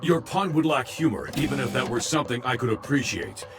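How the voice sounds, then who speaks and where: An actor playing a huge, emotionless robot villain with formal, pompous speech in an animated video game. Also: male voice, medium pitch, fairly quick, close to an everyday voice. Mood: pompous, menacing. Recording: loud music behind voice.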